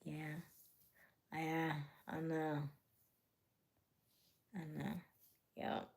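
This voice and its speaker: A woman in her late thirties hosting a casual livestream chat, teasing and playful, slipping into quiet asides. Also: sits low, monotone, extremely slow, vocal fry. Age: late 30s. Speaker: livestream host. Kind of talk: casual live chat with viewers.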